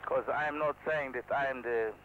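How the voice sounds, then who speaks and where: An Israeli man, a helicopter instructor, speaking to camera in halting non-native English with a modest, self-deprecating manner. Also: medium pitch, steady tone, noisy background. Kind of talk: candid talk to camera.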